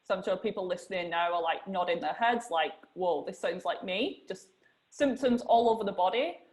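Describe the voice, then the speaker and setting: A woman's voice: fairly low pitch, moderate pace, a little mellow, low-quality recording. A young woman hosting a podcast interview, chatty and using "like" a lot as she asks questions. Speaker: host.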